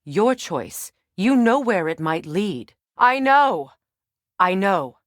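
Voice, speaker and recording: A woman playing a guarded, battle-hardened warrior goddess and sorceress in a video game, measured, dry and pointed in her delivery. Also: mid-range voice, very animated, no room sound. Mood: pointed, challenging. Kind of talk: dramatic video game character acting.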